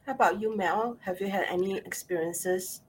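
A woman from Singapore with a Singaporean accent, hosting a conversational discussion with halting, hesitant phrasing. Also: mid-range voice, slight background noise, poor audio. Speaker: host.

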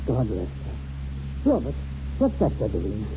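An actor playing a patient, fatherly priest, pious and gently insistent, in a 1940s radio drama. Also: fairly low pitch, wildly swooping pitch, slow pace, noisy background, full character voice. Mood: solemn concern. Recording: dull 1940s radio broadcast.

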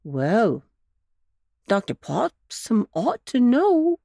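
A cheerful, clever old gentleman rabbit from a storybook, as a woman reader puts him on, raised high above her plain voice and drawn out slowly. He coaxes and jokes in a jolly, lilting tone that swings up and down, recorded close and dry. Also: sing-song, creaky, dry recording, full character voice. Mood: kindly.

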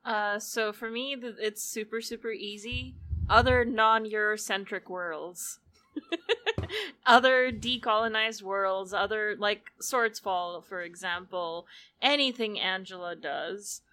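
A woman's voice: medium pitch, takes it slowly, bright, forceful tone. A woman on a panel answering eagerly and laughing as she talks. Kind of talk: casual panel discussion.

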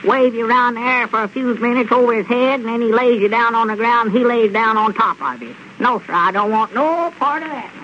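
An actor playing a timid, stubborn, grumbling country storekeeper with a rural Southern accent in a 1930s radio comedy. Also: male voice, very high-pitched, brisk, hardly any pauses. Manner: folksy, grumbling. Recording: dull, noisy 1930s radio recording.

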